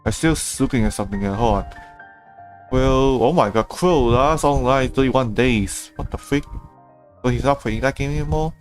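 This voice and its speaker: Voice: medium pitch, moderate pace, faint music behind. A video game streamer giving casual, rambling live commentary and reacting aloud to the game.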